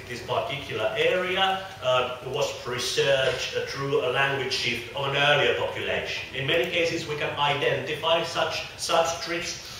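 A man's voice: medium pitch, sharp tone, recorded from a distance. Man lecturing in a roomy hall. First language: Finnish. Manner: hesitant, many filler sounds. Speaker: conference speaker. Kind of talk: academic lecture. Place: dim lecture hall with projected slides. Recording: roomy hall sound from afar, faint noise.

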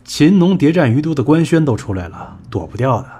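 Man playing a blunt, husky showbiz boss. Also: a little high, sing-song, brisk, dark tone, muffled recording. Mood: grumbling. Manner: blunt, scolding, colloquial. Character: blunt, exasperated male entertainment company boss, sharp-tongued schemer. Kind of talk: Chinese audio drama acting.

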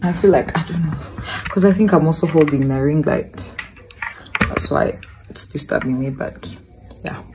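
A woman's voice: low-pitched, moderate pace, background noise. A young woman in her twenties chatting casually into a phone camera, laughing now and then. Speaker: vlogger. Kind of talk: casual vlog narration. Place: campus cafeteria, salon, home room. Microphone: phone camera held at arm's length. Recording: loud music and crowd behind.

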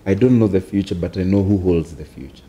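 A man in his thirties on a discussion panel in a small studio, talking calmly and earnestly. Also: low voice, quick pace. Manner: calm, earnest, laughs easily. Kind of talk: casual discussion. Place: small office studio, indoors. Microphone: lapel mic.

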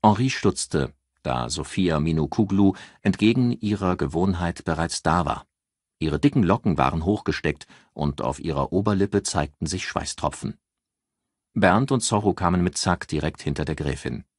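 Male novel narrator: very low, husky voice, measured scripted read, dry recording. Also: dry recording.

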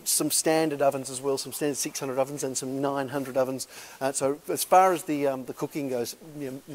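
A middle-aged man, walking through a large warehouse and giving a chatty, upbeat auction preview full of "uh" fillers. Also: a little high. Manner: chatty and upbeat, many "uh" fillers, calls listeners folks. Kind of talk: casual walk-and-talk sales tour. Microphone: handheld camera while walking.

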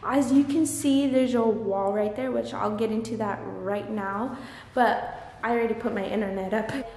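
A young woman talks effusively and chattily to her phone camera held at arm's length. Her voice sits a little high. The bare rooms around her give a heavy echo with a long tail. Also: steady tone, normal speed, a little mellow, a little hiss or hum. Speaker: vlogger. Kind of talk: casual vlog chat. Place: large empty apartment, indoors. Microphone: handheld phone camera at arm's length. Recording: echoey empty room with long reverb.

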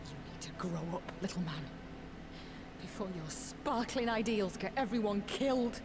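A woman's voice: medium pitch, expressive, normal speed, background noise, natural voice. A woman voicing a hard-bitten, blunt young female soldier in a video game cutscene, with biting scorn. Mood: mocking. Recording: game sound effects and ambience behind.

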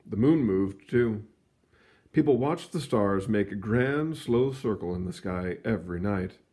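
A man reading a children's science book aloud in an expressive storytelling manner, stretching words for emphasis, with casual asides. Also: fairly low pitch. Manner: animated, storytelling delivery with drawn-out emphasis. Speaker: read-aloud reader.

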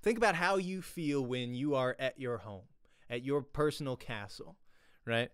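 Man, informal acting teacher, conversational, very expressive pitch, dry close-mic sound. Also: normal speed, smooth, no room sound. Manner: animated, conversational, often checking in with "right?". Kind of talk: informal online acting lesson. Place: small home room, indoors. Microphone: close desk microphone, headset on.

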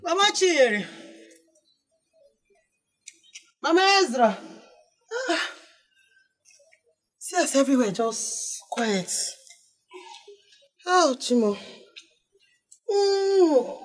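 A woman's voice: sits high, animated, takes it slowly, pauses often, full character voice. A woman with a Nigerian accent playing an aging mother who nags and guilt-trips her son, wailing and mixing in pidgin. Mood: groaning. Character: aging Nigerian mother, dramatic, nagging, self-pitying. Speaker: mother in a comedy skit. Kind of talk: skit acting.

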